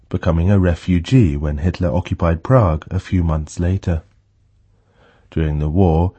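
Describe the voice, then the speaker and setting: A man narrating a radio feature as its reporter, in a calm, even storytelling manner. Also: low-pitched, husky.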